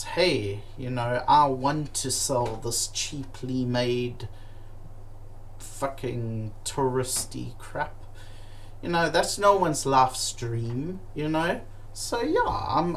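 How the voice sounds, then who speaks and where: A man telling comic stories about his travels, animated and profane, with many fillers and restarts. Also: fairly high pitch. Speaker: comedic storyteller.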